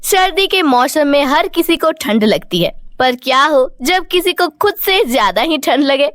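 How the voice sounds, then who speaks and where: A woman's voice: high voice, expressive, a little slow, a bit bright, dry recording, clean studio sound. A woman narrating a scripted moral story for a cartoon.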